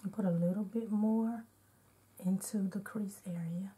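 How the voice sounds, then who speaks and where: A woman with a slightly hoarse, congested voice, chatting casually as a beauty vlogger and narrating each step of an unedited makeup tutorial. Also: medium pitch, steady tone, a little slow, warm tone.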